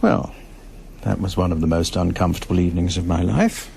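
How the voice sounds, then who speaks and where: A weary middle-aged husband in a radio drama, his voice fairly low and full of creak. He is practical and exasperated, speaking with restraint. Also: brisk, darkish tone, a little hiss or hum. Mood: weary, irritated.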